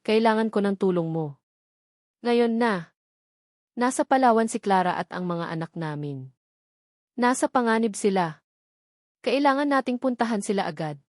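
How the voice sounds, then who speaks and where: A woman's voice: mid-range voice, lively intonation, darkish tone, dry recording, studio quality, lightly acted. A woman voicing a desperate businessman in a story, pleading and demanding urgently to find his family. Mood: commanding. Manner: pleading, demanding, rapid questions. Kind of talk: dramatic storybook dialogue.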